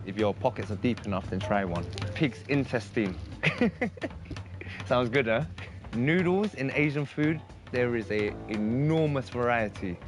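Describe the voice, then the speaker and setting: A man hosting a cooking show, talking in an easygoing, encouraging way. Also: medium pitch. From London, England. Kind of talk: presenter voice-over. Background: music.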